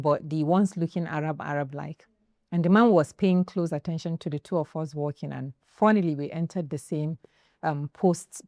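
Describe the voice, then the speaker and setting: Nigerian accent, woman, talk show host, lowish voice, dry studio sound. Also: no room sound. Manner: animated, acts out quoted voices. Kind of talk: panel discussion, lively storytelling. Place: television studio.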